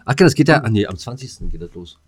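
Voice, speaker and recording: A man's voice: fairly low pitch, expressive, very fast, breathy edge, no room sound. A man co-hosting a podcast in casual comedic banter, rambling, repeating his phrases and joking dryly. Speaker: podcast co-host.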